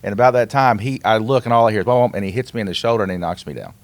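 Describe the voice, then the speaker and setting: A man's voice: fairly low pitch, rapid-fire, dry recording. A middle-aged man co-hosting a podcast, casually telling an anecdote and acting out bits of dialogue. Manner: animated retelling with quoted dialogue. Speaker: podcast co-host.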